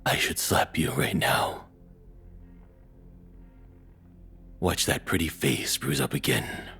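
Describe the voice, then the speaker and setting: An abusive, controlling ex-boyfriend, performed by a man speaks in an extremely deep, very raspy voice. He is domineering and contemptuous, delivering each taunt with deliberate menace. It is an intimate audio roleplay with faint music behind him. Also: pushed and bright, exaggerated voice. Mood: menacing.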